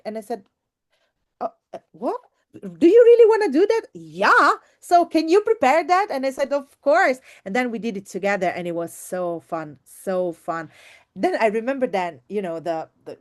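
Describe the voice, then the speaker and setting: A woman teacher giving an informal online workshop, speaking expressively and effusively and repeating words for emphasis. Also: on the high side. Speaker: teacher and trainer presenting.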